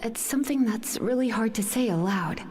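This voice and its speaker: Woman acting as a coy, high-voiced librarian mage. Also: animated, normal speed, lightly acted. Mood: grave, explanatory. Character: flirtatious, languid, teasing female librarian mage. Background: music.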